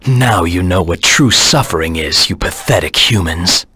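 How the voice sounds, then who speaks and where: A cold, determined hedgehog anti-hero from a video game. The voice is low and heavily rasped, recorded dry and close. He talks tersely and seriously, as if weighing each move to himself. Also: lively intonation, sharp tone, no echo, heavily acted. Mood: contemptuous. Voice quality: husky, gravelly. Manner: terse, serious, deliberate self-talk. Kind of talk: video game character voice lines.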